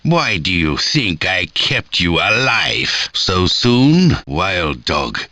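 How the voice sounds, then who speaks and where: An actor playing a grandiose, menacing villain mastermind in an arcade game, speaking in a theatrical, deliberate and haughty way. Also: male voice, low voice, sing-song, pushed and bright, no echo, exaggerated voice. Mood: cold, menacing. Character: grandiose, menacing male villain mastermind plotting world conquest.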